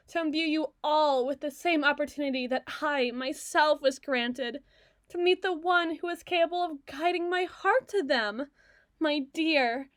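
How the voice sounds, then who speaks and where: A woman voicing a regal, icy evil queen in a scripted ASMR roleplay, speaking in formal, theatrical oratory with deliberate emphasis. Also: sits high, dry recording, studio quality.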